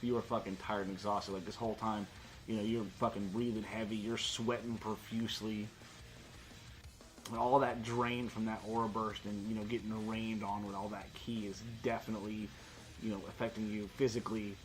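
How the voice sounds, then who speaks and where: Low-voiced man running a tabletop game. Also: level tone, normal speed, rough recording. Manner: informal, sweary. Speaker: game master of a tabletop role-playing game. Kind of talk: casual narration of tabletop game combat. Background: guitar music.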